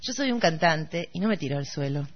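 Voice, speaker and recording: A woman's voice: deep voice, expressive, a little fast. A woman acting a vain, courteous, slightly bumbling male singer in an Argentine radio play. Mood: self-important. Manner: courteous, hesitant, self-important. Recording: dull lo-fi radio recording.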